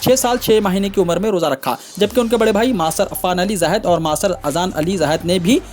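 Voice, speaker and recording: A man's voice: sits high, fairly quick, darkish tone. A man reading scripted news in a formal manner, delivering headlines and stories as a news reader.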